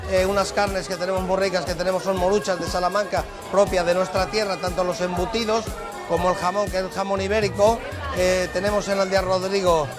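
A man's voice: sits high, level tone, a little fast. A middle-aged man from Zamora, a restaurant manager, explaining his busy terrace restaurant to camera on a lapel mic. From Zamora, Spain. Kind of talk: guided tour talk. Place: busy restaurant terrace under a tent at night. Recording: background music under the voice.

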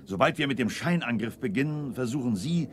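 An actor playing an authoritative army general in a radio play, with a clipped, commanding manner. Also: male voice, medium pitch, lively intonation, fairly quick, slight background noise, natural voice.

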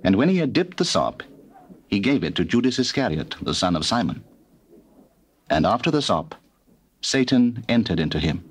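A man's voice: fairly low pitch, very animated, moderate pace, pauses often, husky. A man narrating dramatized scripture in a formal, theatrical storytelling manner. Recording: noisy old cassette recording.

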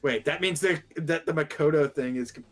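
A man's voice: fairly high pitch, brisk. A man streaming a casual, stumbling read-aloud of fan fiction, breaking off to comment. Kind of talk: casual fanfic reading with commentary.

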